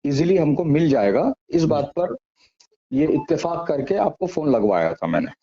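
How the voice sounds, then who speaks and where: A man's voice: fairly high pitch, sing-song, moderate pace, creaky, mellow, husky. A man speaking politely and in a conciliatory way, negotiating over a phone call. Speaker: head of an Islamic seminary. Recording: dull, lo-fi phone call recording.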